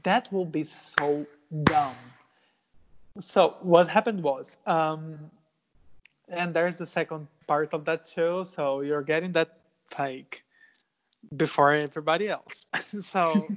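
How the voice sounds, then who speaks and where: A man's voice: fairly high pitch, slow pace. A young Brazilian man, a Portuguese speaker, talking earnestly in a casual interview, with many fillers and restarts. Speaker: guest artist.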